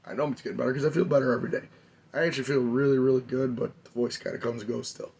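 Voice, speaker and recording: A man, hoarse and losing his voice, giving a rambling, enthusiastic hobby update on his model car builds. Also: mid-range voice, steady tone, racing, a little mellow, lo-fi sound. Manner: rambling, enthusiastic, many fillers.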